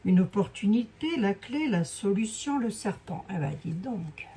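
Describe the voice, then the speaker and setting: A woman with a hoarse voice giving a casual, rambling card reading, full of fillers and asides to her viewers. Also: medium pitch, lively intonation, measured pace, dark tone. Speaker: clairvoyant doing a card reading. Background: fan noise.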